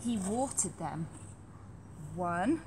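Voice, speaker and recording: A woman reading a story aloud to young children in an animated, deliberate, emphatic way, as a nursery storyteller. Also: on the low side, noisy background.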